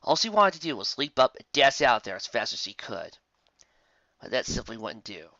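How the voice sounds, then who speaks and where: A story narrator with a mid-pitched, sharp and forceful voice. The delivery is dramatic scripted reading with small stumbles. It sounds close and dry, recorded at home with slightly dull fidelity. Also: sing-song, normal speed, dry recording. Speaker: fan fiction narrator.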